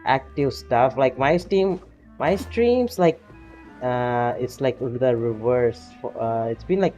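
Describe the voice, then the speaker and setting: A man with a non-native English accent chatting casually while streaming a game, halting and full of fillers. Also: medium pitch, dark tone. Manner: halting, filler-heavy, self-conscious. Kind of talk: casual live game commentary.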